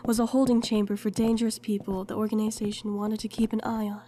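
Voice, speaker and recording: A woman's voice: on the high side, warm tone, close to an everyday voice. A woman playing a curious young recruit who narrates her own story in an audio drama. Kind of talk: first-person scripted narration, audio drama.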